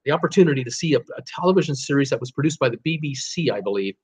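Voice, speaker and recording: A man's voice: medium pitch, expressive, racing, breathy edge. A man, telling stories casually on a livestream in a rambling, hesitant way, repeating words as he thinks aloud. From Canada. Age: 62, early sixties. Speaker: host of a cruise travel livestream. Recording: dull, lo-fi livestream audio.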